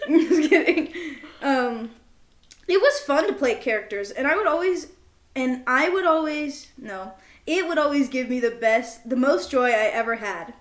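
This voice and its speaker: A young woman with a high voice chats casually as a podcast co-host. She is goofy and giggly, happy to poke fun at herself. The sound is roomy and echoey. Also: animated, a little slow. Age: young adult. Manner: giggly, goofy, self-mocking. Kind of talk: casual chat between best friends, with bits of journal reading.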